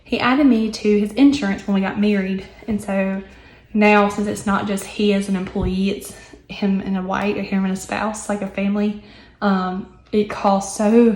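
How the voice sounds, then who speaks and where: A young woman with a hoarse, recovering voice, chatting casually with many ums and asides as she works through her budget. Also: medium pitch, darkish tone, echoey room, rough recording. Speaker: budgeting vlogger. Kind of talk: casual chat while working on paper.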